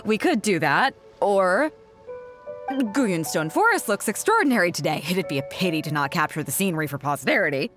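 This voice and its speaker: A woman's voice: on the low side, very animated, moderate pace, a bit bright, faint music behind. A woman playing a confident, teasing female ship captain in a video game, breezy and self-assured. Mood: playful, teasing. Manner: breezy, playful, self-assured, chuckles while talking. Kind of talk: video game character dialogue.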